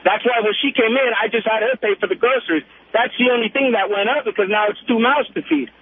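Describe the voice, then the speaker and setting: Man, phone-in caller, high pitch, casual, defensive, insistent, thin telephone audio. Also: fast, no echo. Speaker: radio show caller. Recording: narrow tinny telephone line.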